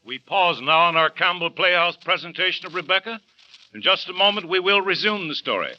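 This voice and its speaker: A man formally announcing a radio program in measured 1930s broadcast style. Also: sits high, animated, dull audio, loud music behind the voice.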